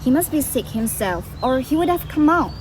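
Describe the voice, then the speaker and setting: A woman's voice: high voice, very animated, normal speed. Woman acting a hesitant, deferential servant, noisy recording. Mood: deferential, flustered. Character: chatty, deferential old working man, widowed, kindly. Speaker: stage actor. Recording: noisy recording with background ambience.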